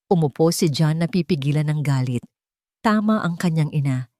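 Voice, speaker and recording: A woman's voice: low-pitched, lively intonation, moderate pace, mellow, no echo. A woman reading a scripted dramatic story as an audiobook narrator.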